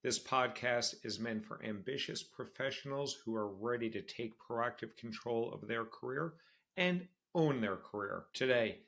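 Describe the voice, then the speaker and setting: A man's voice: mid-range voice, unhurried, gravelly, fairly bright tone. A man in his forties hosting a solo career podcast, talking candidly and conversationally and repeating phrases for emphasis. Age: 40s. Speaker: podcast host. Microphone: screen-sharing video podcast setup.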